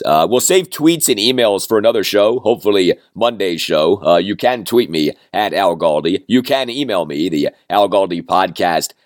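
A man hosting a sports podcast with a hoarse, fading voice from a cold, running through topics in a plainspoken, self-deprecating way. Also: deep voice, no room sound, clean studio sound. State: hoarse, losing his voice from a cold. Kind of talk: solo sports podcast talk.

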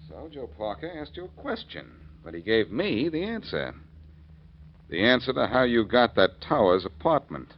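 An actor playing a cocky, wisecracking amateur sleuth in a 1940s radio detective drama, glib and taunting. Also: male voice, mid-range voice, sing-song, moderate pace. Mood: pressing, sly. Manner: glib, taunting, self-assured. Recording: muffled, noisy 1940s radio recording.

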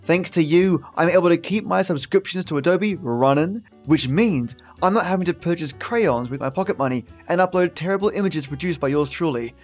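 A man's voice: a little high, brisk, hardly any pauses. A man hosts a podcast in a slightly raised voice. He is chatty and matey, delivering scripted remarks with playful exaggeration. Guitar music runs behind him. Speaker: podcast host.

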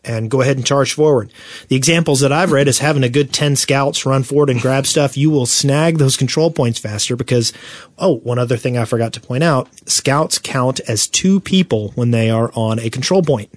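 A man with a mid-pitched voice talks casually as a podcast co-host. He explains things in a conversational way, recorded close and dry with very little room sound. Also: normal speed, dry recording. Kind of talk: casual gaming podcast chat.

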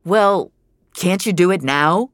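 A woman's voice: lowish voice, slow pace, dry recording, clean studio sound, voice pushed up. A woman voicing an exasperated, incredulous father in an audio drama, agitated and grumbling. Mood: angry, pleading. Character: frantic, exasperated father, male film actor. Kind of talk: dramatic audio fiction dialogue.

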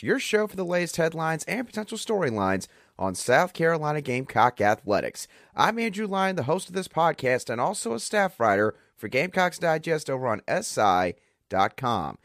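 Hoarse, animated male podcast host. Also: a little high, moderate pace, no echo, clean studio sound. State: hoarse, under the weather. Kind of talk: solo sports podcast analysis.

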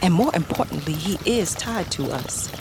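An actress playing a practical, wary wife with a Southern US accent in an audio drama. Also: female voice, low-pitched, expressive, moderate pace. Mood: worried, urgent. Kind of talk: naturalistic audio drama dialogue. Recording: noisy outdoor street ambience.

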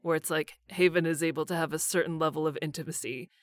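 A woman's voice: deep voice, steady tone, fast, no room sound, studio quality. A woman talking casually as a voice actor guest in a podcast conversation. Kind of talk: casual chat.

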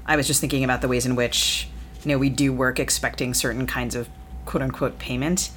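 Low-voiced woman host, musing with fillers, faint hiss in the background. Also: steady tone, fairly quick, a bit bright. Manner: thoughtful, many fillers. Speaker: podcast host. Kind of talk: casual podcast conversation.

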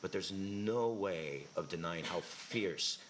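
A man's voice: sits low, slow, noisy background. A man speaking as a guest at a live podcast interview, effusive and full of stumbling restarts. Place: live event venue with audience.

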